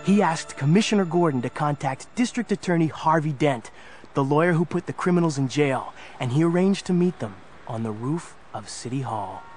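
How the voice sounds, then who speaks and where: An actor playing an earnest, youthful superhero sidekick, narrating a children's audio drama. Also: male voice, a little high, expressive, moderate pace, darkish tone, close to an everyday voice. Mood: earnest storytelling. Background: music.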